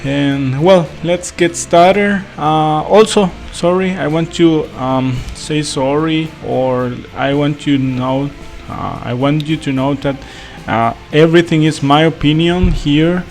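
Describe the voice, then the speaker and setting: A man's voice: on the high side, steady tone, slow pace, darkish tone, soft background music. A man from El Salvador, speaking English as a second language, giving a casual travel review with many fillers, restarts and apologies. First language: Spanish. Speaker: travel vlogger.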